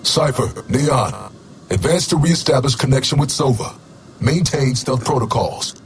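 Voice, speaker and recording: An actor playing a stern military combat robot in a game, giving clipped commands over radio with a robotic filter. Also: male voice, mid-range voice, animated, unhurried. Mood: stern, commanding. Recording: noisy military radio channel.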